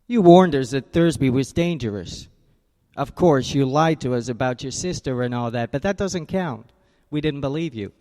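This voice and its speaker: An actor playing a tough private detective who narrates and talks in a clipped, wry way, in 1940s radio style. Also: male voice, medium pitch, expressive, normal speed, dark tone, big-room sound, close to an everyday voice. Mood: sardonic. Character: hard-boiled male private detective narrator.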